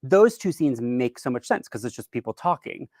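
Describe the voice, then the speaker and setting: A man's voice: medium pitch, expressive, normal speed, a little mellow, no echo, clean studio sound. A man giving an informal instructional keynote talk at a virtual event, explaining in a conversational, somewhat hesitant way. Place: virtual event, speaking from a dry room.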